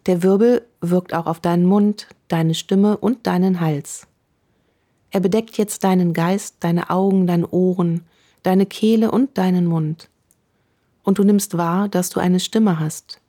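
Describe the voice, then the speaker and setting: Calm woman with a mellow, low voice. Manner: slow, calm, affirming. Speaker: meditation guide. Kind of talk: guided meditation.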